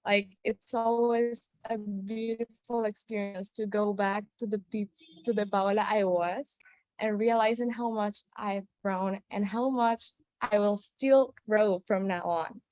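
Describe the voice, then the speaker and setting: A woman from Venezuela, Spanish her first language, speaks earnestly over a video call. She talks at a medium pitch, often restarting as she searches for words. The sound is close and dry, with no room in it. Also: steady tone, a little slow, a little mellow, no room sound, rough recording. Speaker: storyteller guest. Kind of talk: personal show-and-tell story on a video call.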